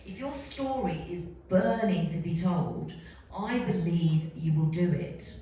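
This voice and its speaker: A woman's voice: lowish voice, a little slow, warm tone. A woman film director on a festival panel, speaking passionately and candidly. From Britain. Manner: passionate, candid, laughs easily. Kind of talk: panel talk. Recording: echoey room, voice from far off, faint noise.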